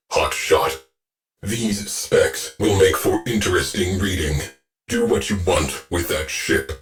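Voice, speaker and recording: A man voicing a stern veteran ship AI that gives dry, clipped military briefings in a video game. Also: deep voice. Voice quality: dry deadpan. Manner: clipped, terse mission briefings.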